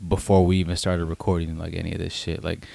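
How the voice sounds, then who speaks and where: Low-voiced man in his late twenties. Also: flat delivery, creaky, dark tone, no room sound. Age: late 20s. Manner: informal, swearing, halting and rambling. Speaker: podcast co-host. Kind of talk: casual unscripted podcast chat.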